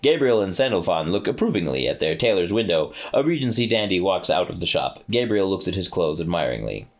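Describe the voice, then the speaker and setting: A man narrating a script aloud with expressive storytelling. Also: low-pitched, fairly quick.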